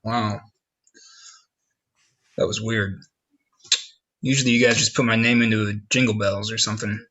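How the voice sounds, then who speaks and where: A man playing a gruff, cynical businessman father in a comedic script read, brusque and sarcastic, with a husky voice. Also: on the low side, little pitch movement, moderate pace, fairly bright tone, lo-fi sound. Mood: baffled.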